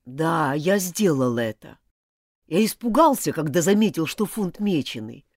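A woman's voice: deep voice, big pitch swings, unhurried, darkish tone, dry recording, studio quality, full character voice. A woman voicing a dull ashamed schoolboy. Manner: dull, subdued and mournful. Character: guilt-ridden young schoolboy, ashamed, confessing.

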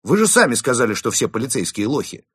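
A man voicing a desperate, loud-mouthed fugitive in a storybook, excitable and mocking as he babbles and shouts. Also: medium pitch, fast, slightly rough, dry recording, studio quality. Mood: indignant, loud.

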